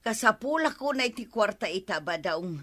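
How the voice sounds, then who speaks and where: A woman's voice: medium pitch, animated, normal speed, pushed and bright. A woman playing a guilty village treasurer in an Ilocano radio comedy, pleading and making excuses in an Ilocano accent. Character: guilty woman treasurer who gambled funds.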